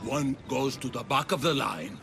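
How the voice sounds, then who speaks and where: An actor playing a curt, dismissive male temple guard with a gravelly voice. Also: medium pitch, normal speed, background noise, natural voice.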